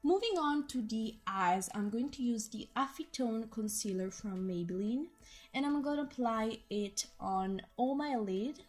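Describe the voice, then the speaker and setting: Hoarse young woman narrating makeup tutorial. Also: on the high side, expressive, a little slow. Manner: chatty and enthusiastic. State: hoarse, losing her voice. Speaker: beauty vlogger. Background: soft background music.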